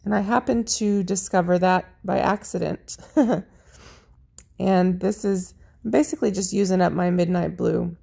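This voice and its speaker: A woman narrating her paint pouring video in a chatty, friendly way, her voice a little raspy. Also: lowish voice, steady tone, unhurried, warm tone. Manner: chatty, friendly, lists colors as she works. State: slightly raspy voice. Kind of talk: casual how-to narration over craft footage.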